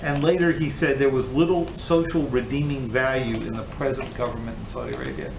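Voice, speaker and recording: A man puts questions as a reporter at a press briefing. His mid-pitched voice is deliberate and a little hesitant. It carries through an echoey room with clear background noise. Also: fairly even intonation.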